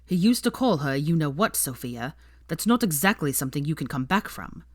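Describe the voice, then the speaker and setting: A woman's voice: low-pitched, big pitch swings, brisk, dry recording, clean studio sound. A woman voicing a charming but needling young man with a pointed, sardonic, disapproving manner in a story reading.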